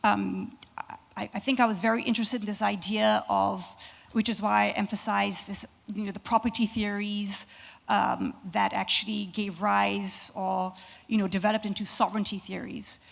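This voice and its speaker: A hoarse woman losing her voice, giving a hesitant academic panel response full of fillers. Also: mid-range voice, little pitch movement, moderate pace. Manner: hesitant, many fillers, thinking aloud. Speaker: conference panelist. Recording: reverberant conference hall.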